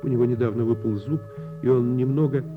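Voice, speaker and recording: A man with a husky voice narrates a children's fairy tale as a radio play, theatrically and unhurriedly, drawing out words. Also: mid-range voice, fairly even intonation, quick pace. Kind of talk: expressive radio storytelling for children. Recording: muffled lo-fi radio recording. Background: music.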